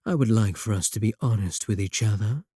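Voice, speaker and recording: Casting: male actor for manipulative warlock villain in romantic audio roleplay, lowish dark voice, teasing and possessive. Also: husky, no room sound, studio quality. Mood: amused, teasing. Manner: slow, teasing, deliberate, with drawn-out phrasing.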